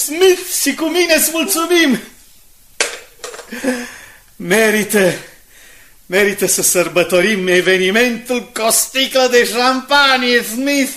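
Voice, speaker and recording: An actor in a Romanian radio drama playing a hearty, excitable newspaper man with an emphatic, exclamatory delivery, on a faintly noisy recording. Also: very high-pitched, pauses often, full character voice. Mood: elated, eager.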